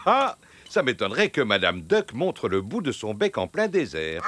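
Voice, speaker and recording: A man's voice: mid-range voice, wildly swooping pitch, moderate pace, fairly bright tone, faint music behind. A man playing a hapless grown-up animal on a picnic in a French children's cartoon dub. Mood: surprised.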